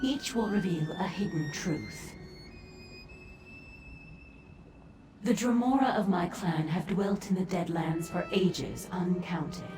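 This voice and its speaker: A woman's voice: lowish voice, measured pace, mellow, natural voice. A woman playing an imperious, solemn demon clan leader in a fantasy video game, speaking in a stately, formal, commanding way. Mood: grave, commanding. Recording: echoing voice over game music.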